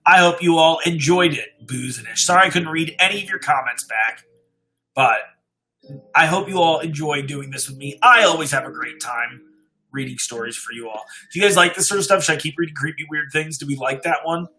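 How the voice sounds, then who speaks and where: A man's voice: fairly high pitch, a little fast, pushed and bright, rough recording. A man hosting a livestream in a casual, informal, chatty way, talking appreciatively with his viewers. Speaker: livestream host and story reader.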